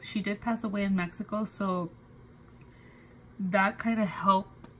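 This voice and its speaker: A woman's voice: mid-range voice, steady tone, a little hiss or hum, rough recording. A young woman with a cold and a nasal voice, talking casually to camera and often stopping to restart her sentences with fillers. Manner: halting, soft, with many fillers and restarts. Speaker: budgeting vlogger. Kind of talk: casual personal talk to camera.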